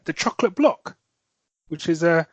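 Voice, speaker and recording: Guy hosting a podcast, a bit high-voiced, dry close sound, keeps restarting sentences, laughs a lot. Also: animated, moderate pace, slightly rough, no room sound. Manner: chatty, laughs often, restarts phrases. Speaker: podcast co-host. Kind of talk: casual chat.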